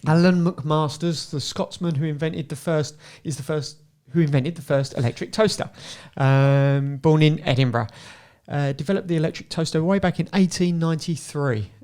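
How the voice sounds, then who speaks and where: A man's voice: a little high, moderate pace, hardly any pauses, darkish tone. A man hosting a podcast, running a light trivia quiz with his guests and laughing often. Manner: playful, laughs often while quizzing guests. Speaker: podcast host.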